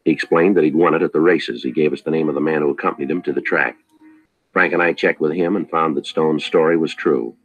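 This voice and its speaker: An actor playing a terse, businesslike police detective in a 1950s radio drama, deadpan and matter-of-fact, with a husky voice. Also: male voice, rumbling low, fast, narrow, tinny audio, exaggerated voice. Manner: clipped, deadpan, politely matter-of-fact questioning. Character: terse, businesslike male police detective narrating his case. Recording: vintage 1950s radio broadcast.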